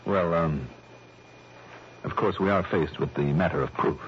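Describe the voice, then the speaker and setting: An actor playing a cool, skeptical investigator in an old-time radio drama, speaking in a measured, dry, questioning way. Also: male voice, low voice, dull audio, slight background noise. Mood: skeptical, probing. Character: cool, skeptical male investigator who probes politely. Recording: thin, band-limited vintage radio recording.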